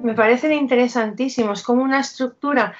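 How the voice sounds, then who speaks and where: A woman's voice: fairly high pitch, fast talker, music in the background. A woman interviewer asking questions with engaged enthusiasm on an academic podcast. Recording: slightly lo-fi.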